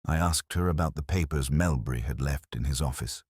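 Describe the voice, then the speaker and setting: A man reading a literary detective story in the first person, with measured, reflective, melancholy phrasing. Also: a bass voice, a little mellow, slightly rough, dry recording, clean studio sound. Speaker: narrator of a detective mystery story.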